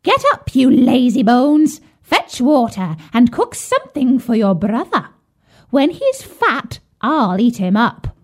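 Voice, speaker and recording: A woman voicing a wicked old witch from a fairy tale, jeering, bossy and falsely sweet, in a theatrical, drawn-out way. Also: on the high side, huge pitch swings, darkish tone, pitched above the performer's own voice, full character voice. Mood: bossy, scolding. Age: elderly. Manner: theatrical, drawn-out, scolding delivery. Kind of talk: fairy-tale storybook character voice.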